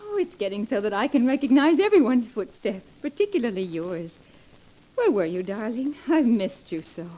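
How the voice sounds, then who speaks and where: An actress playing a possessive, jealous young wife with pleading, theatrical delivery in a 1940s radio melodrama. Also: female voice, fairly high pitch, big pitch swings, moderate pace, dark tone. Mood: clinging, tender. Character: possessive, frightened young wife feigning blindness, clinging and jealous. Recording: vintage 1940s radio recording.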